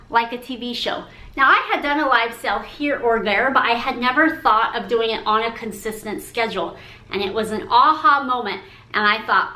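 Woman in her forties, fairly high voice, upbeat and encouraging, sales tips to camera, echoey room. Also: sharp tone. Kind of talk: speaking to camera, sharing business tips. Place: room with a pink painted brick wall.

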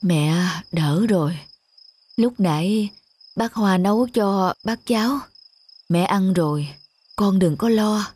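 Woman acting a gentle elderly village mother. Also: mid-range voice, slow, breathy edge. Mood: weak, reassuring. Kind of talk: cartoon dubbing.

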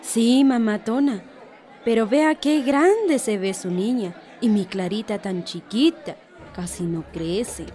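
A woman's voice: on the high side, slow pace, mellow, a faint crowd. An actress playing an earnest, respectful young village mother in a radio soap, with a Guatemalan accent. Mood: worried. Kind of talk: radio soap opera acting.

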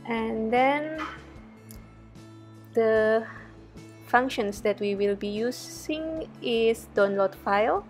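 A woman's voice: fairly high pitch, drawn-out words, pauses often, a little mellow, soft background music. A young woman from Indonesia, a research fellow, talking viewers through a coding tutorial over a screen recording in a chatty way with many fillers. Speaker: research fellow teaching data analysis.

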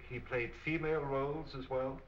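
An actor playing a calm, polite, measured middle-aged detective in a 1940s mystery film. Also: male voice, medium pitch, slight background noise, natural voice. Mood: courteous. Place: furnished office film set. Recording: muffled, echoey old film soundtrack.

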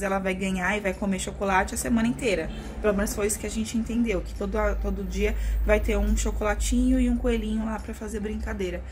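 A young Brazilian woman chats to her phone in a casual vlog style. Her voice sits at an ordinary pitch, and she talks to viewers familiarly with pet names. The home recording has clear background noise. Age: young adult. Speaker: vlogger. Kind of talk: casual chat to camera. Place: small rooms at home, indoors. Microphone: phone at arm's length. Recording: noisy handheld home recording.

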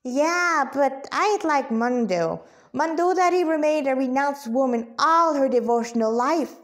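A woman voicing a brash, excitable female cat spirit in a story, slangy and emphatic. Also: high voice, measured pace, voice pushed up. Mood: gushing.

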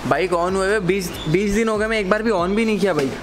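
A man's voice: sits high, quick pace. A young man with an Indian accent chatting casually as a motorbike vlogger, mixing in English words, on a handheld camera outdoors. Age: young adult. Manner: mixes English words into Hindi. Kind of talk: casual vlog chat. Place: covered open-air home driveway. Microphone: handheld camera at arm's length. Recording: loud outdoor street noise.

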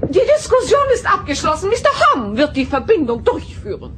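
A woman's voice: sits high, big pitch swings, a little fast, a bit bright, slight background noise. An actress playing an imperious alien noblewoman and domineering mother, pompous and condescending, in a German science-fiction radio drama.

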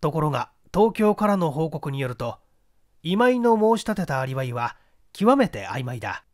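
A man's voice: on the high side, big pitch swings, normal speed. A man reading a literary mystery story as a scripted narration. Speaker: audiobook reader, stage actor.

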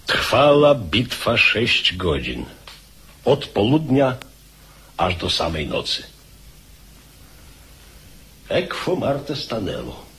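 Casting: old Polish commander, low voice, slow solemn declamation, weary pride, radio drama. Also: male voice, huge pitch swings, a bit bright, breathy edge, heavily acted. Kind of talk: radio drama acting, archaic formal speech.

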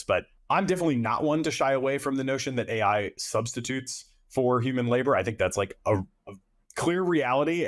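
A man's voice: lowish voice, no echo, clean studio sound. A man, asking long conversational questions as an interview host. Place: indoors on a video call.

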